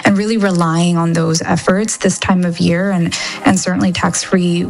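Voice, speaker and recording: A woman's voice: on the low side, level tone, normal speed, a little mellow. A woman reporter giving conversational, explanatory answers in a radio interview, often checking for agreement. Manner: conversational, seeks agreement with "right?". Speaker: economy reporter being interviewed.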